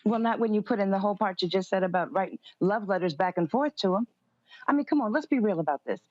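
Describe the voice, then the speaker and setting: A woman's voice: medium pitch, lively intonation, fast talker, darkish tone, dry recording. Woman guest debating politics, assertive n guarded, dry room, bit muffled lo-fi sound. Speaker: political guest, conservative commentator. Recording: slightly dull, dry lo-fi audio.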